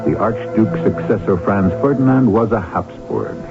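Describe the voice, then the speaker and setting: Man, very low voice, wry reflective storyteller, radio host, narrow muffled vintage broadcast, music behind. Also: moderate pace. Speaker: host of a radio mystery series. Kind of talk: scripted storytelling narration.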